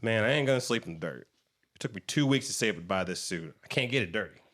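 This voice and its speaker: An actor playing a brash, swaggering new vampire who talks in theatrical seventies slang in a campy horror comedy. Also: male voice, on the low side, lively intonation, fast talker, fairly bright tone. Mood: indignant. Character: brash, jive-talking 1970s man turned new vampire.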